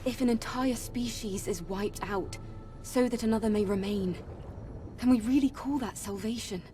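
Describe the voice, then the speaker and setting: A woman playing an earnest, solemn young female warrior in a dramatic video game, speaking with measured resolve. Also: a little high, dark tone, far from the mic, soft background music, close to an everyday voice. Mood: solemn, resolute.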